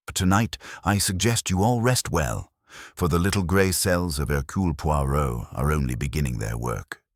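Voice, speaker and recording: A meticulous older detective, as a man puts him on, speaking in a measured, formal way. His voice sits very deep but moves lively up and down. The sound is close and dry. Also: no room sound. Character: fussy, meticulous older male Belgian detective.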